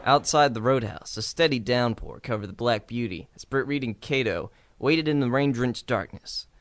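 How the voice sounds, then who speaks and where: A man's voice: mid-range voice, normal speed, no echo. A man reading scripted narration for an old-time radio crime drama.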